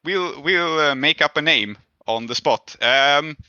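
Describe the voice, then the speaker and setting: A man's voice: fairly high pitch, unhurried, bright, forceful tone, smooth, dry recording, highs cut off. A man casually narrating and refereeing a tabletop game as its game master.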